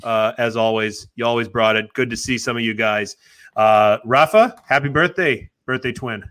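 A man in his forties, a podcast host, signing off in a relaxed, chatty way with many fillers. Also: mid-range voice, moderate pace. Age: 40s. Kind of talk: casual podcast sign-off.

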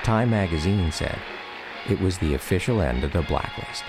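A very low-pitched American man narrates a scripted memoir for radio. His delivery is measured and reflective, and music plays clearly behind him. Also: animated. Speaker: narrator of an autobiographical radio documentary drama. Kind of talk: scripted first-person narration.

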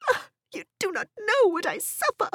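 A woman voicing a fussy, excitable, scheming middle-aged mother in a period novel audiobook, with a fluttery, gushing, exclaiming manner. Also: very high voice, normal speed, no room sound, studio quality, pitched above the performer's own voice, exaggerated voice. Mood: plaintive.

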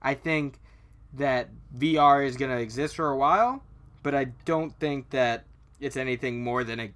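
A man's voice: medium pitch, normal speed, a bit bright, clear, resonant tone. A man co-hosting a podcast in casual, slangy chat with plenty of casual swearing. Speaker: podcast co-host. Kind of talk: casual chat about video games.

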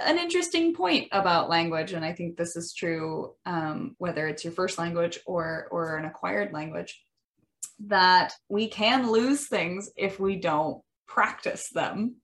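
A woman with a Midwestern American accent talks through an educational topic at a middle pitch. She speaks thoughtfully, often pausing on ums and restarting her sentences as she works out her points. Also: a bit bright. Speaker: podcast host. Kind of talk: educational monologue.

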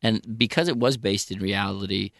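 Low voiced guy guesting on a radio show, talks loose and restarts a lot, dry close mic. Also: moderate pace, gravelly, fairly bright tone, dry recording. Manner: chatty, self-correcting, laughs easily. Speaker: actor and producer, guest. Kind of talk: interview.

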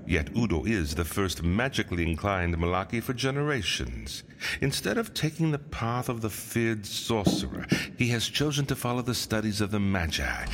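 A man's voice: medium pitch, noisy background. A man narrating a fantasy audio drama in a wry storytelling manner. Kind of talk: scripted narration. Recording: music behind voice.

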